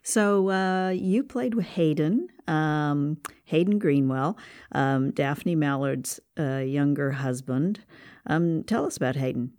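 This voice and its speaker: Female podcast interviewer, measured conversational delivery, producer introducing and questioning a guest. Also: low-pitched, darkish tone.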